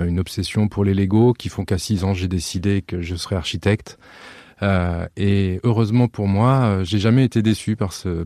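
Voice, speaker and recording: Deep-voiced French man telling stories. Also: dry recording, clean studio sound. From France. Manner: animated storytelling with restarts. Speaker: architect. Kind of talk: interview answers.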